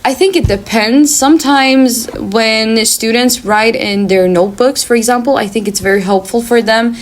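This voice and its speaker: A woman from Sweden, a native Swedish speaker, talking in careful, measured sentences in a casual discussion with frequent fillers. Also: a little high.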